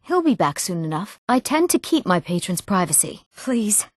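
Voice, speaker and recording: A woman's voice: mid-range voice, sing-song, normal speed, no room sound. Eager young fantasy townswoman, mid-range voice, earnest game dialogue, recorded dry. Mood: matter-of-fact. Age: young adult.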